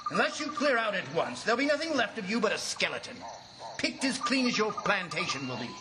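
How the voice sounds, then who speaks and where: A man's voice: high voice, very animated, a little fast, heavy background noise. A man playing an earnest district commissioner in a radio drama, speaking with emphatic, theatrical gravity. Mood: urgent, alarmed. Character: earnest, alarmed male district commissioner, grave storyteller. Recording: radio drama with loud music underneath.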